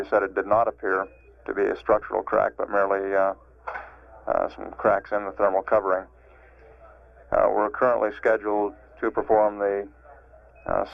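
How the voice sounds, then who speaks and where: An American man giving measured official spaceflight status commentary as a mission control announcer, matter-of-fact and halting, over an archival radio transmission. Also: fairly low pitch, no room sound. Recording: narrow-band, phone-like archival radio transmission.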